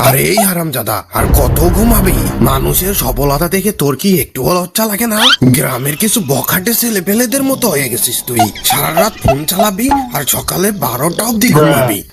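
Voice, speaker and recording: Man acting grumbling, animated Bangladeshi cartoon dad. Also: a little high, fairly quick, gravelly. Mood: irritated, scolding. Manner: scolding, lecturing. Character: strict, grumbling middle-aged Bangladeshi father. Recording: music behind the voice.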